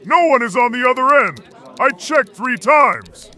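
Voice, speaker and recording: A man's voice: very high voice, animated, unhurried, pushed and bright, heavily acted. An actor playing a jittery, suspicious young male call center agent in an audio drama, speaking in urgent, insistent short phrases. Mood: panicked insistence. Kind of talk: scripted audio drama acting.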